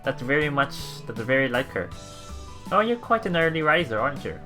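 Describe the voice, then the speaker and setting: A man with a bright, forward voice narrates a game casually. He reads text aloud and thinks out loud in a halting way, over faint game music. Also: medium pitch, big pitch swings. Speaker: let's play host.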